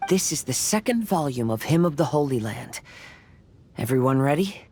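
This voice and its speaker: A woman's voice: sits low, animated, moderate pace, darkish tone, slight background noise. A woman voicing a composed, studious and polite young woman in a video game dub.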